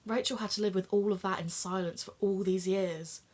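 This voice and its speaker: A woman's voice: medium pitch, fairly even intonation, a little fast, darkish tone, close to an everyday voice. An actress in an audio drama playing a sympathetic woman who co-hosts an investigative podcast, speaking conversationally.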